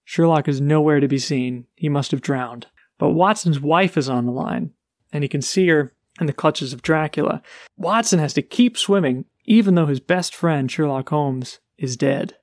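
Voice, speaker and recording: A man's voice: on the high side, moderate pace, no echo, clean studio sound. Man retelling a plot with energy. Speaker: podcast co-host. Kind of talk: casual book discussion.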